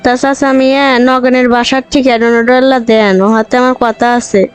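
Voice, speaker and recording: A woman's voice: high voice, little pitch movement, moderate pace, clean tone, faint music behind, lightly acted. A woman voicing a regretful, earnest young village woman in a cartoon, speaking a rural Bangladeshi Bengali dialect. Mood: inquiring. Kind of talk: Bangla comedy cartoon dubbing.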